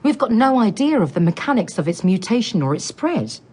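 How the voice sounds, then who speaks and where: Urgent, husky-voiced woman doctor. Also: medium pitch, sing-song, fairly quick, darkish tone. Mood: urgent, insistent. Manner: insistent, emphatic, articulate. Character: urgent, frustrated female infectious disease doctor, passionate and driven. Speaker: actor in a radio drama. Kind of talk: naturalistic radio drama acting.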